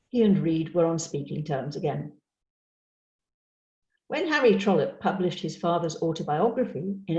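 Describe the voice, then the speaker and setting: A woman's voice: fairly low pitch, dark tone. A woman narrator reading scripted historical prose aloud. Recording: dull, roomy online-call audio.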